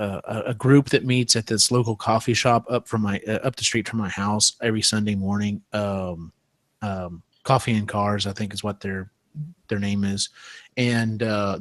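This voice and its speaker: A man's voice: lowish voice, no echo. Male podcast host, close dry sound. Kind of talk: casual chat.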